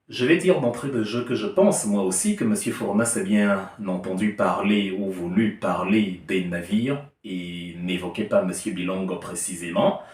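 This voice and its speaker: A man with a fairly low voice delivers an argumentative, emphatic commentary laced with irony. He sits some distance from the mic in a reverberant room, so his words carry a roomy echo. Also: darkish tone. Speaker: political commentator. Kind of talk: opinion monologue to camera.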